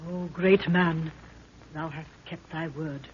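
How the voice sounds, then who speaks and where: A woman's voice: sits low, fairly even intonation, slow. A proud, solemn queen declaims in archaic, stately English. It is vintage radio adventure acting. The sound is dull, with faint noise behind it. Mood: solemn, urgent. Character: proud, solemn queen of a lost medieval people. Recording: dull, noisy 1930s radio recording.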